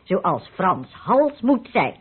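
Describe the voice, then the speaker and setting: A homely, loyal painter's wife in a radio play. Her voice is husky and rises and falls freely as she teases and exclaims, on a muffled old recording. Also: mid-range voice, sing-song, slow, exaggerated voice. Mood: proud, teasing. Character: warm, plain-spoken, loyal middle-aged painter's wife. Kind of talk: Dutch radio play acting.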